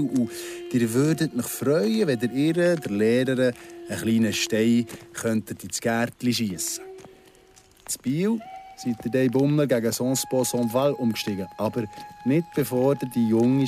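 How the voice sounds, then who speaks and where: A man's voice: mid-range voice, close to an everyday voice. An actor playing an insinuating paroled convict in his thirties in a radio drama, speaking Swiss German with a probing, mock-understanding manner. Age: 30s. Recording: music behind the voice.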